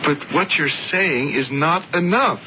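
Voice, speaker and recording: A man's voice: on the high side, a little slow, background noise, natural voice. An actor playing an anxious, lovesick young sculptor who stammers and pleads with his older brother, heard on a dull, lo-fi radio broadcast. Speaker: narrator and lead character. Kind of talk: 1970s radio drama acting.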